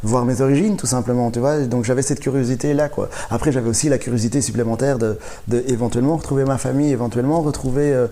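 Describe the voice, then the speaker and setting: A man talks through his own story in a relaxed interview. His voice is soft and mellow, and his telling is lively, dotted with laughs and restarted sentences. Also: mid-range voice, fast, hardly any pauses. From born in Rwanda, raised in Belgium. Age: 40s. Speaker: guest, comedian.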